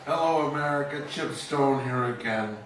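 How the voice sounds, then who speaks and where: A man's voice: mid-range voice, moderate pace, very noisy, lightly acted. A man playing a showy old-time radio announcer in a parody broadcast, introducing things in a hammy, theatrical way. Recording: distant, very echoey, music behind.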